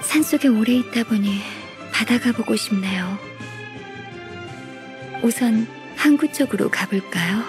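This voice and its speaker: A woman plays a gentle, dutiful young secretary from a video game. Her voice sits fairly high and soft, with modest, courteous, formal phrasing. Light music plays underneath. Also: a little slow, long pauses, close to an everyday voice. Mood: gentle, wistful. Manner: polite, soft-spoken, formal. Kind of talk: Korean video game voice acting.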